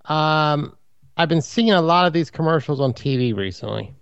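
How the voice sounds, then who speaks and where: Deadpan Baltimore man with a slightly high voice. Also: moderate pace, vocal fry, clear, resonant tone, no room sound, muffled recording. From Maryland, USA. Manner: deadpan, provocative jokes. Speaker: podcast co-host. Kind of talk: casual chat.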